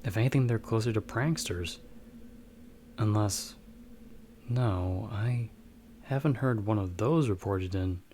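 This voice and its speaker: Give this role a fairly low male voice from a man acting it out. He is a watchful bounty hunter who knows magic and monsters. He speaks to his companion in measured, slightly formal explanations, in the style of an ASMR audio roleplay. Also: mellow, a little hiss or hum. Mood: calm, watchful.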